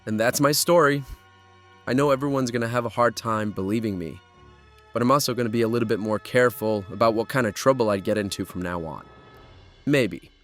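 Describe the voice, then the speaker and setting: A man's voice: mid-range voice, brisk, close to an everyday voice. An actor playing a plucky young man who narrates and lives through a horror audio drama. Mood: wry, reflective. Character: Ben, plucky young man trapped in nightmare dimension. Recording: music behind the voice.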